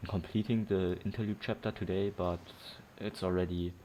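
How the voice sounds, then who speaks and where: A man's voice: low voice, fairly even intonation, moderate pace, muffled recording, slight background noise. A man commenting casually on his gameplay in a rambling, laid-back, amused way, full of fillers. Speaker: gamer. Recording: voice over loud game music.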